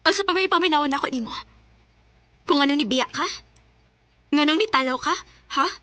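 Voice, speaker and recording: A woman's voice: high-pitched, brisk, pushed and bright, no echo. A woman with a Cebuano Filipino accent playing an emotional, loving young daughter and sweetheart in a Bisaya radio drama, earnest and melodramatic. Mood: hurt, indignant. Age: young adult. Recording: music behind radio drama dialogue.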